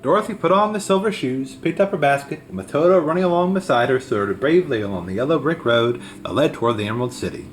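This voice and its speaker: A man reading a children's story aloud as scripted narration, in an expressive way. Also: mid-range voice, quick pace, hardly any pauses, a little hiss or hum. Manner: expressive read-aloud delivery. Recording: music behind the voice.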